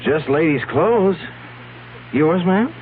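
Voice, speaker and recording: Slow, skeptical plain-spoken fellow. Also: male voice, fairly high pitch, sing-song. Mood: doubtful, placating. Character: skeptical, plain-spoken man humoring an upset woman. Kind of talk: radio drama acting. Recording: tinny, noisy old radio recording.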